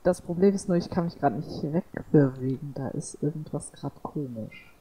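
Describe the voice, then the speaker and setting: A man's voice: a little high, moderate pace, mellow, slight background noise, low-quality recording. A man roleplaying a farm worker over voice chat, hesitant and fumbling for words.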